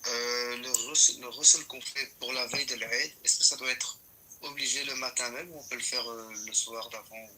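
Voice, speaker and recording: A man's voice: medium pitch, fairly even intonation, moderate pace, background noise. A man reading out audience questions, hesitant with fillers.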